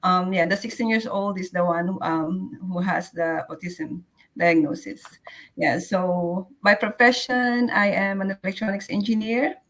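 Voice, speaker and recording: A woman's voice: lowish voice, steady tone, unhurried, a bit bright, rough recording. A woman, chatting casually as a guest in a live interview. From Philippines.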